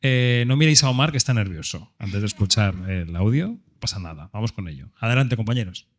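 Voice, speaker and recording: A man's voice: lowish voice, lively intonation, a little fast. Brief: male moderator, Spain Spanish accent, chatty questions. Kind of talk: hosting a panel discussion.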